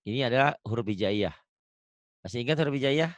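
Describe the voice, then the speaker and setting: A man's voice: mid-range voice, lively intonation, brisk, no room sound. A man teaching Quran recitation online, patiently drilling pronunciation and laughing often. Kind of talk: online tajwid lesson.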